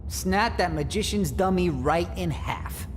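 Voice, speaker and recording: A man's voice: high voice, a little slow, a little hiss or hum. A male actor playing a jealous, lovesick murder suspect in a noir audio drama, wounded and defiant, talking in streetwise slang. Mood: bitter, defiant. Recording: long reverb, clear music underneath.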